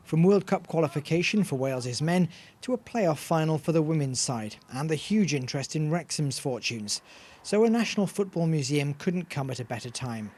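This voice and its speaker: A male reporter reads a scripted news package. His voice is slightly high for a man and the delivery is that of a broadcast voice-over. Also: darkish tone.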